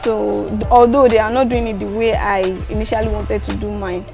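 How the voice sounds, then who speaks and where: A young woman from Nigeria with a Yoruba accent, answering interview questions softly and laughing often. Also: mid-range voice, level tone, brisk, vocal fry, over music. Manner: soft-spoken, laughs often, mixes in Yoruba. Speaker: guest vlogger.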